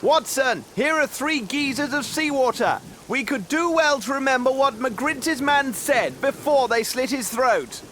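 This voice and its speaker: Resolute male detective, very high raised pitch, urgent, declamatory, game drama, rain noise behind. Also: animated, measured pace, hardly any pauses, fairly bright tone, full character voice. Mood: urgent, grim. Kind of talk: video game voice acting.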